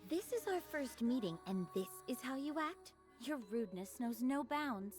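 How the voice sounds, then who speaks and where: Haughty, higher-pitched lady fighter. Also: expressive, moderate pace, darkish tone, faint music behind. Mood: haughty, indignant. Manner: haughty, formal. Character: haughty, indignant noblewoman-like fighter.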